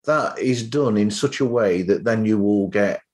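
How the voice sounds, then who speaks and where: A man's voice: fairly low pitch, measured pace, a little mellow. A man hosting a casual podcast interview in a hesitant, meandering way. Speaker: podcast host.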